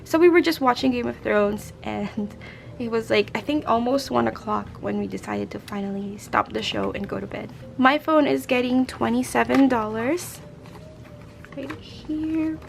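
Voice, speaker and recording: A young woman with a raspy, hoarse voice, chatting casually as a budgeting vlogger and counting out cash into envelopes. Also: fairly high pitch, normal speed, a little hiss or hum. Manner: chatty, rambling, counts money aloud. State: hoarse from a late night. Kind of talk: casual chat while stuffing cash envelopes.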